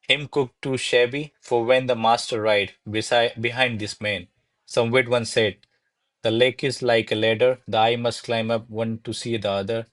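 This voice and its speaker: A man's voice: mid-range voice, fairly even intonation, moderate pace, a bit bright, lightly acted. Man playing a wry, rambling servant. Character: wry, grumbling male servant, cheeky and talkative. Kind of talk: comic servant role in radio play.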